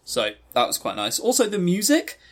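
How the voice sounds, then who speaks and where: A man's voice: sits high, wildly swooping pitch, moderate pace. A man co-hosting a podcast, giving a casual review in a rambling, informal and blunt way. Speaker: podcast co-host.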